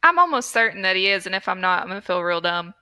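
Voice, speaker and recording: Bright-voiced woman chatting casually. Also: mid-range voice, very animated, fast, clean tone. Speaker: podcast co-host. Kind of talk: casual film review chat.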